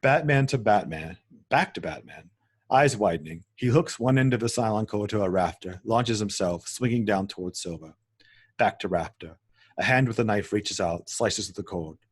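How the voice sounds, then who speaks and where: A man serves as narrator for a screenplay table read, delivering scene headings and action lines. His voice sits fairly low and has a noticeably husky, rough edge. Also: animated, moderate pace. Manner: stumbles and corrects himself while reading. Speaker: narrator reading stage directions.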